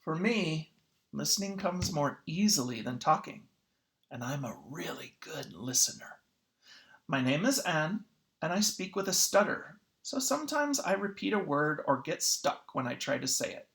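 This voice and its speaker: Teacher reading a kids book out loud, really animated, voice goes up and down a lot. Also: medium pitch, normal speed, creaky. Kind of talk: children's read-aloud narration.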